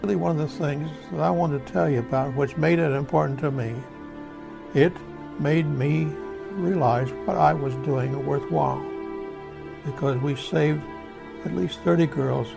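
Actor as a very gravelly old American war veteran. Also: male voice, fairly high pitch. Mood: reflective, earnest. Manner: halting, plainspoken, folksy reminiscing. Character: old American WW2 veteran, remorseful, haunted by killing. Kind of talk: radio drama monologue, recounting war memories. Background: music behind the voice.

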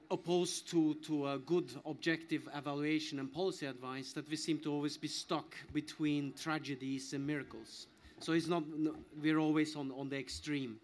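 A man's voice: a little high, a little hiss or hum. A man speaking off the cuff as an academic guest in a discussion, often restarting his phrases. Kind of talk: spontaneous panel explanation.

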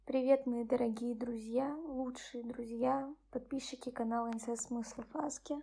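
A tired young woman hosting her own channel, speaking softly and subdued as she reads a bedtime story and chats about her day. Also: steady tone, normal speed, creaky, slightly rough. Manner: soft, subdued, low-key. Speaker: channel host. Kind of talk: bedtime story reading and personal chat.

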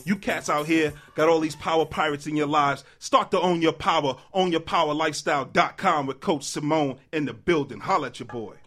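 A man giving a casual radio promo shout-out in laid-back street slang. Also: on the high side, a little fast, few pauses. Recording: music playing behind the voice.

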